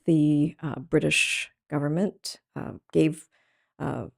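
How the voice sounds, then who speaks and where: A middle-aged woman who grew up in Thailand, an artist talking through her story in a thoughtful, halting way with many pauses and ums. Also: low-pitched, gravelly, mellow. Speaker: artist guest. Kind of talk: reflective interview talk.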